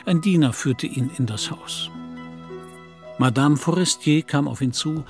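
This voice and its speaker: A man narrating a literary radio play in a measured storytelling manner. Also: fairly high pitch, music in the background.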